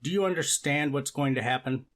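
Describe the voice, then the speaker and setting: A man playing a formal judge, speaking in a measured, procedural manner in a courtroom reenactment. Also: medium pitch, fairly bright tone, natural voice. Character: formal, methodical male judge presiding over a hearing. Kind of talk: readers theater courtroom reenactment.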